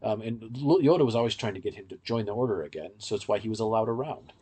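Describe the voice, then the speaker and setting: A man's voice: on the low side, animated, fast, mellow, breathy edge. A man co-hosting a podcast, giving casual, in-depth fan commentary in a rambling, explanatory way. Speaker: podcast co-host.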